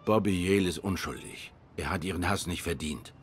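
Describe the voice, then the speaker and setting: Man playing a deep-voiced brooding detective tomcat. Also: brisk, a little hiss or hum, natural voice. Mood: earnest, restrained. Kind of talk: noir game dialogue acting.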